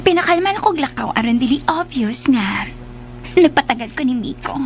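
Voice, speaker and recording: A woman's voice: high voice, expressive, normal speed, slight background noise. A woman playing a scheming, flirtatious woman in a radio drama, coy, theatrical and self-satisfied. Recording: radio drama with loud music underneath. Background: loud music.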